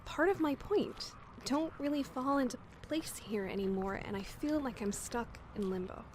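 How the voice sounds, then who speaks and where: Woman acting a young female survivor, slightly high voice, casual, questioning, restless, audio drama, faint noise behind. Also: animated, normal speed. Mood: frustrated, adrift. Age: 20s. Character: restless 25-year-old woman survivor feeling adrift.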